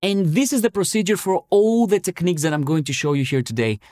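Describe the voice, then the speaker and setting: A man in his thirties presenting an instructional tutorial step by step, with deliberate, emphatic explanations. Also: sits high, over loud music. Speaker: music production tutorial presenter. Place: home music studio, indoors.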